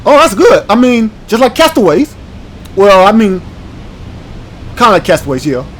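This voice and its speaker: A man's voice: high-pitched, big pitch swings, normal speed, a bit bright, slight background noise. A man hosting a gaming channel, chatting and rambling casually as he reacts aloud to a game. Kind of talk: casual let's-play commentary.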